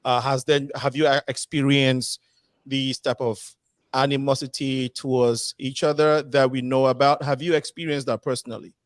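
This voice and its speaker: A man's voice: medium pitch, level tone, normal speed, no room sound. Man hosting a panel, dry sound. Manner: long rambling questions with fillers. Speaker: talk show host. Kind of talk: panel discussion moderating.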